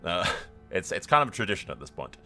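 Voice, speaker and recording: A man hosting a game roleplay series, telling the story as he plays in an excitable, dramatic way. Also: deep voice, fast, soft background music. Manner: excitable, dramatic, mild swearing. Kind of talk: lively game playthrough commentary and storytelling.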